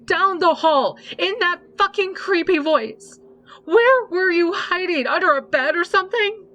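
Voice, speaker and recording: A man putting on a shrill, furious young wife. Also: very animated, measured pace, pushed and bright, dull audio, exaggerated voice. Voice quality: shrill, swearing, voice trembling.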